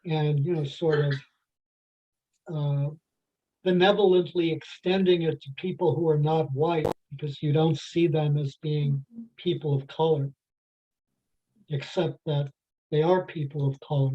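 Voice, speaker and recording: A participant in an adult faith class discussion, talking in a halting way with many fillers and restarts. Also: mid-range voice, steady tone, mellow. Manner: halting, heavy with "you know" fillers and restarts. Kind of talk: group discussion. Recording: dull lo-fi sound.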